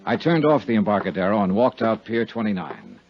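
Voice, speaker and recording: A man's voice: on the low side, big pitch swings, fairly quick, gravelly. An American man playing a hard-boiled, brooding and self-assured insurance investigator in a 1950s radio detective drama. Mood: moody, somber. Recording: dull, muffled 1950s radio recording.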